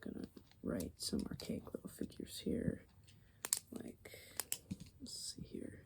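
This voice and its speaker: A woman doing soft, rambling ASMR, musing hesitantly as she thinks aloud, her voice fading toward whispers. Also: slow. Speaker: ASMR video creator.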